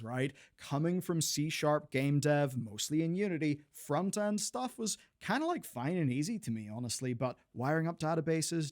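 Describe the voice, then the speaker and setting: A man's voice: fairly high pitch, darkish tone. A man hosting a gaming video, reading scripted narration in a conversational, slangy way with casual asides. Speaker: host of a gaming lore video channel. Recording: music playing behind the voice. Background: music.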